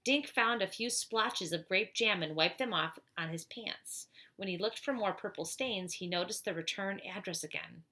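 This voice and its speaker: A woman reading a children's storybook aloud in an expressive style, with chatty asides to her young listeners. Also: mid-range voice, moderate pace, few pauses. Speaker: teacher reading aloud to pupils.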